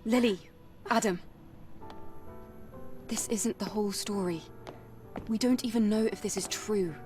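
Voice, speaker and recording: A woman's voice: medium pitch, measured pace, recorded from a distance, over music, close to an everyday voice. An actress playing an earnest, determined young female warrior in a video game, speaking sincerely. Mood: resolute. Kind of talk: video game character acting.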